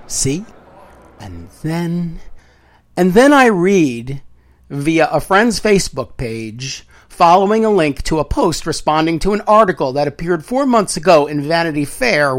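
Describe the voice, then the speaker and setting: A man reading literary prose as an audiobook narrator, with deliberate, dramatic phrasing and weighted pauses. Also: a little high.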